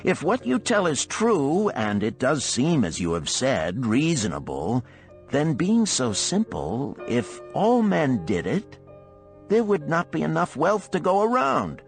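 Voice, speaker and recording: A man acts out an earnest male companion in a storybook parable, full of doubt and questions. The voice is lifted well up in pitch and moves animatedly, with formal phrasing over music. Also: wildly swooping pitch, a little slow, gravelly, full character voice. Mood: doubtful. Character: earnest, doubtful male friends seeking advice on wealth. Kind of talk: dramatised storybook parable reading.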